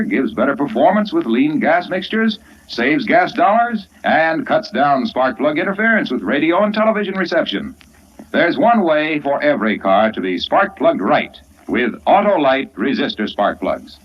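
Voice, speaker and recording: An actor playing a polished, eager radio pitchman in an emphatic 1940s commercial style, on an old radio recording. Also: big pitch swings, normal speed, highs cut off. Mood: salesman enthusiasm. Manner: emphatic, salesman-like delivery.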